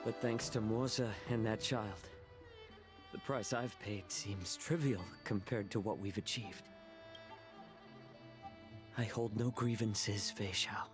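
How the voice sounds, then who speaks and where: Gentle, wry game healer: lowish pitch, unhurried, accepting tone. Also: male voice. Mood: content, weary. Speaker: game character voice actor. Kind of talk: dramatic game voice acting. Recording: music behind the voice.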